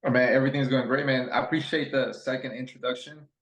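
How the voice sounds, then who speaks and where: A man's voice: medium pitch, steady tone, quick pace, fairly bright tone, echoey room, rough recording. A young adult man from Ecuador, a guest chatting casually and rambling with plenty of fillers and restarts. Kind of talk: casual interview chat.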